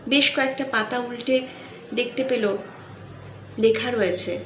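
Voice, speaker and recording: A woman telling a sentimental motivational story in an earnest, deliberate way, pausing between phrases. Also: fairly high pitch. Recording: echoey room, faint background noise.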